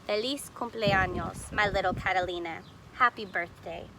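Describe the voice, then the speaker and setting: A woman voicing a kindly, forgetful, excitable grandmother in a storybook, exclaiming and wondering aloud dramatically. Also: mid-range voice, moderate pace, background noise, natural voice. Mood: delighted.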